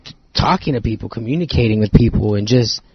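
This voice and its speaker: Husky, profane podcast host. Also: male voice, lowish voice, fast talker, dry recording, highs cut off. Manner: loose, profane, jokey slang. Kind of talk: casual chat.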